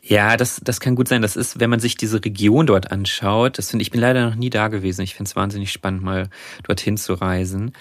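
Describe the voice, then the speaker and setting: A man's voice: lowish voice, fairly even intonation, fairly quick, no echo, studio quality. A man co-hosting a podcast, talking through a story in a chatty way with frequent restarts. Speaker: podcast co-host, presenter of the story. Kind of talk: casual podcast conversation.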